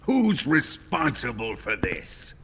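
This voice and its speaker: Forceful, menacing colonel, slow and deliberate. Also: male voice, medium pitch, wildly swooping pitch, creaky, slight background noise, exaggerated voice. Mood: suspicious, controlled. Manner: menacing, deliberate, mock-polite. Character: cruel, domineering Soviet colonel, sadistic and suspicious. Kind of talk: dramatic video game cutscene acting. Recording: long hall reverb, music behind.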